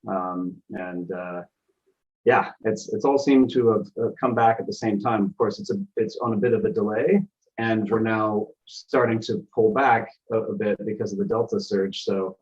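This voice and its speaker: A man with a low, husky voice talks over a video call, tired and halting, pausing on lots of fillers and restarts. The call audio is dull and lo-fi. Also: fairly even intonation, a little mellow. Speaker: guest producing live events. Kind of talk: casual video call chat.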